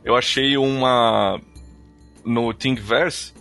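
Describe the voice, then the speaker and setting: A man's voice: medium pitch, fairly even intonation, takes it slowly, a bit bright. A man with a Brazilian accent co-hosting a podcast, chatting informally with jokes and slang and reading listener messages. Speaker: podcast co-host. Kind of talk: casual chat, reading listener comments. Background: background music.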